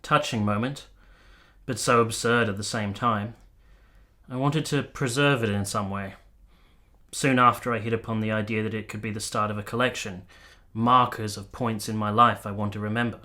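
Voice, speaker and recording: A courteous, wistful army captain. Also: male voice, fairly low pitch, steady tone, moderate pace, sharp tone. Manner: polite, formal, literary phrasing. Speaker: actor and narrator in a radio play. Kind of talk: dramatic radio play acting.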